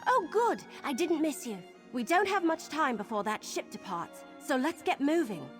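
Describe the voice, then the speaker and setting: An actress playing a brisk, determined adventurer companion in a video game, purposeful and friendly as she gives directions. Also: high voice, sing-song, faint music behind.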